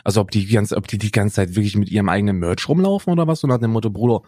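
Slangy, sardonic podcast co-host, dry sound. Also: male voice, lowish voice, very fast, dark tone, dry recording. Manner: chatty storyteller, slangy and sardonic. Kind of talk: casual chat.

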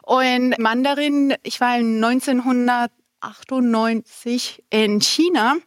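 A woman's voice: a little high, fairly even intonation, slow, no room sound, clean studio sound. A woman who is a native Spanish speaker, half Colombian and half German, answering conversationally as a podcast guest. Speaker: podcast guest, corporate compliance manager.

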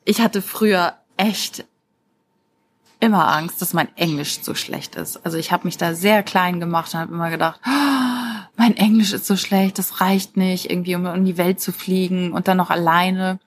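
A woman's voice: lowish voice, moderate pace. A woman giving an informal motivational talk as a life coach, speaking directly and encouragingly to the listener. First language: German.

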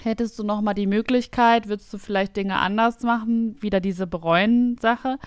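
A woman in her late twenties hosting a podcast, thinking out loud in casual chat with a reflective, self-mocking manner. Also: mid-range voice, steady tone, normal speed, smooth, no room sound, studio quality. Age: late 20s. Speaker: podcast host.

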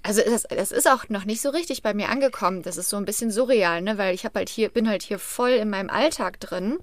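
A woman chatting casually as a podcast host, in an animated, jokey, informal way. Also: medium pitch, fast, dry recording.

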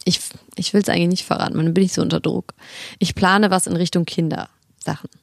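A woman co-hosting a chatty podcast, her voice a touch low with a gravelly edge. She talks casually and laughs often. The sound is dry and close. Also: little pitch movement, no echo. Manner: warm, chatty, quick to laugh. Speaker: podcast host. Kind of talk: casual chat.

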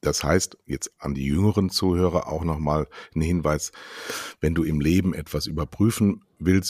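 A man's voice: low voice, breathy edge, dry recording, studio quality. A man hosting a casual interview, asking pointed and emphatic questions.

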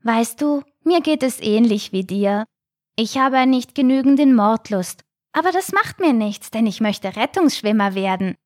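Woman as an earnest, high-voiced little shark. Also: expressive, measured pace, no echo, studio quality. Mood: kind, confiding. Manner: earnest, eager explaining.

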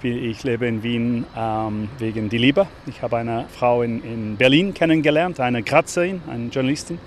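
A man's voice: medium pitch, normal speed, a little hiss or hum. A man from England with an English accent, chatting hesitantly with many fillers while walking outdoors as a tour guide. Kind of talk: casual chat while walking. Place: outdoors on city streets.

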